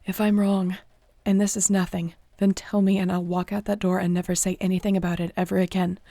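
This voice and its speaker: A woman acting a guarded, flustered but tender mentor in a modern fantasy audio roleplay, speaking haltingly and often near a whisper. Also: mid-range voice, flat delivery, vocal fry, dark tone, dry recording, close to an everyday voice. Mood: earnest, vulnerable. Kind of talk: audio roleplay acting, intimate one-sided dialogue.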